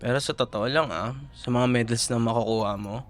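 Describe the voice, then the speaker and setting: Male actor as young Filipino boyfriend for audio drama: medium pitch, casual Taglish, coaxing, caring, easily exasperated. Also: brisk, a little hiss or hum, close to an everyday voice. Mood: concerned, coaxing. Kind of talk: boyfriend roleplay audio, intimate conversational acting.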